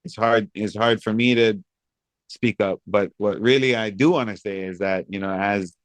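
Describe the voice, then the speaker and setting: A man in his thirties from Hawaii, answering interview questions in a thoughtful, measured way with many pauses. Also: lowish voice, fairly even intonation, dry recording. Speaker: guest, reggae singer. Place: outdoors by a pool among high-rise buildings.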